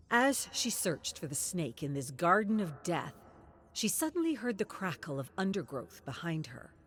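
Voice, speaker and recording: Very slow, low-voiced woman narrating dark horror.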